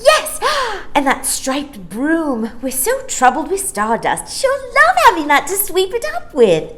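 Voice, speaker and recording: A bubbly little star-child from a children's storybook, acted out by a woman. The voice sits high and leaps about with gushing, exclaiming delight. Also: huge pitch swings, slow, a bit bright, exaggerated voice. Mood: eager.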